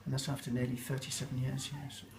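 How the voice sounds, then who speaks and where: A man's voice: mid-range voice, completely flat delivery, quick pace, creaky, a little mellow, slight background noise. An older man with an English accent, answering interview questions in a reflective, rambling way with fillers and restarts. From England.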